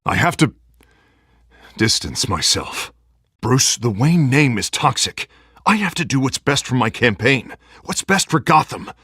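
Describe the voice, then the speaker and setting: An actor playing a pragmatic male politician in a video game, earnest and deliberate. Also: mid-range voice, sing-song, bright, forceful tone, breathy edge, no room sound, clean studio sound, full character voice. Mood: regretful, firm. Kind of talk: dramatic video game voice acting.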